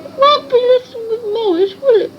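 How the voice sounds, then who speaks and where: A woman with a squeaky voice rambling chattily and reassuringly, correcting herself as she passes on messages at a séance. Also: brisk, soft background music. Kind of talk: spoken messages to absent listeners. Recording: dull lo-fi archival tape with faint hiss.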